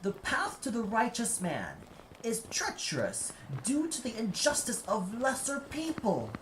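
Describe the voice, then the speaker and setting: A man's voice: extremely high pitch, wildly swooping pitch, unhurried, roomy sound, noisy background, voice pushed up, heavily acted. A man voicing a fierce, scolding old woman in an audio play, indignant and chastising. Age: older.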